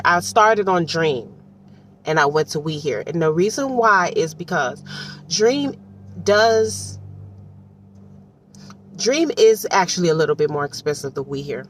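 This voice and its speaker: A woman from Detroit with an American accent gives casual, rambling commentary in a slangy, conversational way full of asides. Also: deep voice. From Detroit, United States. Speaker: audiobook reviewer. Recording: distant mic with faint background noise.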